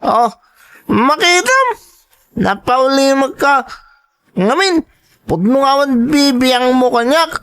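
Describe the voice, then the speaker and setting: A man's voice: very high voice, expressive, slow, long pauses, a bit bright, heavily acted. An actor playing a rebellious young man who talks back to his mother in an Ilocano drama. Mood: defiant. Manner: mocking, defiant. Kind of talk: Ilocano radio drama acting.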